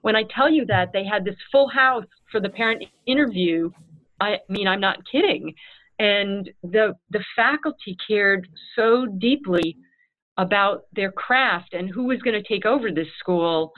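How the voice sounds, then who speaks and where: A woman who heads a school, answering interview questions in an earnest, animated way and acting out her own past thoughts. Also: mid-range voice, a little slow. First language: English. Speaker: head of school. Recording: tinny phone-like line.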